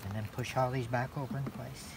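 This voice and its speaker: A man's voice: mid-range voice, steady tone, a little fast, vocal fry. Older man teaching outdoors, heavy noise. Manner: patient, explanatory, repeats points. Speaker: beekeeping mentor. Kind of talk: casual hands-on instruction. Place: outdoors in a grassy field. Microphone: handheld camera a short distance away. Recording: very noisy outdoor phone video.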